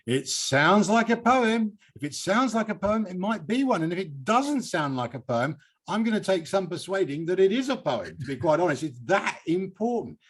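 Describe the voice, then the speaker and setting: A British man hosting a poetry reading, reciting and commenting in an emphatic, expressive, theatrical manner. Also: high voice, normal speed, few pauses, husky. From Britain.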